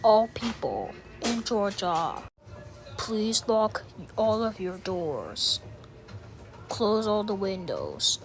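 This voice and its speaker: A woman reading a scripted mock emergency broadcast announcement in a formal, deliberate, instructional manner. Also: medium pitch, very animated, music in the background.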